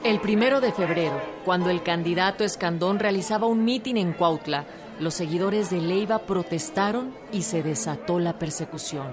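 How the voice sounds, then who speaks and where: A woman's voice: fairly low pitch, normal speed, a loud crowd. A woman with a Mexican accent narrating a scripted historical radio drama. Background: string music.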